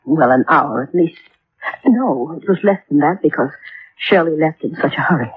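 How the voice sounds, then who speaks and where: Husky, subdued, worried mother. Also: low-pitched, animated, moderate pace, dry recording, heavily acted. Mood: subdued, hesitant. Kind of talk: old-time radio drama acting. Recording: thin, phone-like old radio recording.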